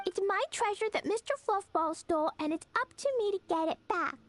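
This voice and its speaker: A woman's voice: very high voice, fairly quick. A woman voicing a cheerful, determined little girl in an anime-style fantasy video game. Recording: loud game music behind.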